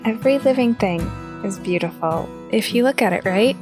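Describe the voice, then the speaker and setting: A woman playing a playful, teasing young woman in an audio drama, speaking gently over guitar music. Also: mid-range voice, moderate pace, close to an everyday voice. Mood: gentle teasing.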